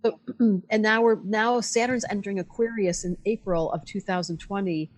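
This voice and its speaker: An older woman astrologer talking bluntly in a casual conversation, animated and acting out the voices of the people she quotes. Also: medium pitch, normal speed, rough recording. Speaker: astrologer guest.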